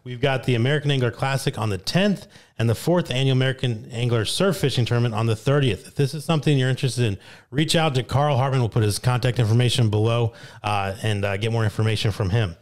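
A young man with a New Jersey accent hosting a weekly fishing report, reading catches from notes at a boom mic in a small studio. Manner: upbeat, reads names and catches in quick lists. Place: small studio with brick wall, indoors. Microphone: boom mic on arm, headphones.

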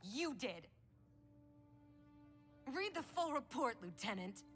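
An actress playing a tough, sharp-tongued young female soldier in a video game cutscene. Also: a little high, sing-song, takes it slowly, soft background music, exaggerated voice. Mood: angry, defensive.

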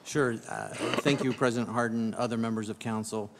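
A man's voice: medium pitch, fairly even intonation, fairly quick, background noise. A man who is a city department director, briefing the council plainly and steadily at a desk microphone. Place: large city council chamber. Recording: long reverb of a large chamber.

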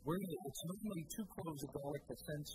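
A man's voice: a little high, fast talker, heavy background noise. Teasing middle-aged male cooking host. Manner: teasing, instructive, laughs often. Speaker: cooking show host demonstrating cookware. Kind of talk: casual studio cooking demo. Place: studio kitchen set.

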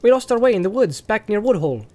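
A man acting chirpy young male hobbit, high pitch, lively, courteous, eager, storybook character. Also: fast talker. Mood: apologetic, open.

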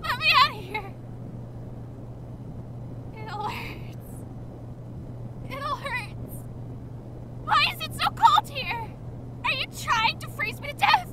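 A woman's voice: very high-pitched, lively intonation, slow pace, pauses often, very noisy, pitched above the performer's own voice, exaggerated voice. A woman voicing a plucky young woman in withdrawal with dramatic, emotional delivery for a visual novel. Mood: pleading. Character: young woman in withdrawal, plucky but desperate when locked up. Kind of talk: visual novel character acting.

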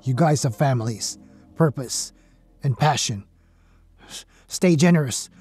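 A man's voice: mid-range voice, a little slow, a little mellow, breathy edge. A man playing a resolute survivor making a solemn, emphatic farewell in a sci-fi audio drama. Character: resolute man sacrificing himself to guard the door. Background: music.

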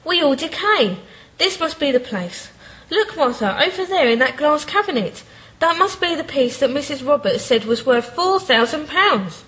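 A woman's voice: high voice, sing-song, normal speed, fairly bright tone. A woman playing a worried but determined amateur detective in a radio play, earnest and polite.

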